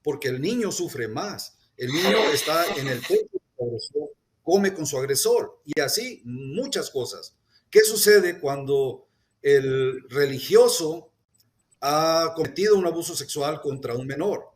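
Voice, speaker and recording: A man speaking earnestly at a press conference, deliberate, with hesitations and restarts. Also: fairly high pitch, lively intonation. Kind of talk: formal public statement.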